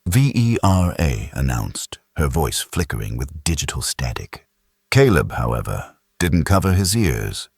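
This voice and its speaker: A man narrates a sci-fi audiobook in a very deep, husky voice. His delivery is dramatic, with animated pitch movement. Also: unhurried, no room sound, studio quality.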